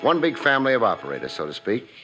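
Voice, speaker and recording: Hard-boiled gumshoe, dry wisecracking, lively inflection, forceful tone, old radio noir. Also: male voice, medium pitch, racing. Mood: reflective narration. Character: wry, hard-boiled private detective narrating his own cases. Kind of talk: 1950s radio noir narration and dialogue. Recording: muffled old radio recording, faint music.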